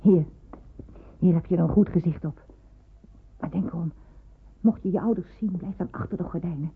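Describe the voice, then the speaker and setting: An actress playing a sober, compassionate nursery worker in a radio drama, measured and guarded in her delivery. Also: on the low side, close to an everyday voice. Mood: cautioning, kind. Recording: thin phone-like band, noisy old radio play.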